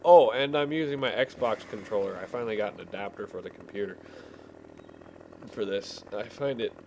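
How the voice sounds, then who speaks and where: A man casually telling rambling stories while he plays a game, halting and easily distracted. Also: lowish voice, a little mellow, poor audio. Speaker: gamer telling stories over gameplay. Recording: clear background vehicle engine noise. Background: game vehicle engine sounds.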